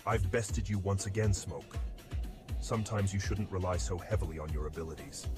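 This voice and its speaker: A man plays a principled warrior in an audio drama, earnest and measured. His voice is low, with music playing clearly behind it. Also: fairly even intonation, close to an everyday voice. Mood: instructive. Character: principled younger warrior brother, earnest and questioning.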